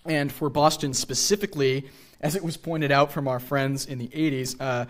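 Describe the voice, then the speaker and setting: A man's voice: medium pitch, steady tone, moderate pace. A young man with an American accent giving a lecture with casual asides at a theater podium microphone. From New England, USA. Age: young adult. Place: theater stage.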